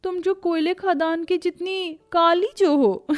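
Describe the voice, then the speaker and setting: A woman's voice: very high-pitched, no echo, clean studio sound, heavily acted. A woman voicing a vain, haughty young woman in a cartoon story, taunting and boastful. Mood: mocking.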